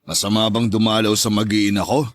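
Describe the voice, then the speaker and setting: Actor as a cool, confrontational ex-partner. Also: male voice, on the low side, little pitch movement, brisk, dry recording, close to an everyday voice. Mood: cool, challenging. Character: Henry, confrontational ex, father of her children. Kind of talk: Tagalog radio drama dialogue.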